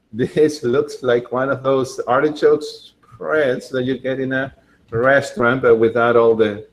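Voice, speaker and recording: A man from Argentina, demonstrating a recipe in a casual, enthusiastic way while thinking out loud. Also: mid-range voice, slight background noise, poor audio. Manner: chatty and enthusiastic, thinking aloud with fillers. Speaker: cooking demonstrator.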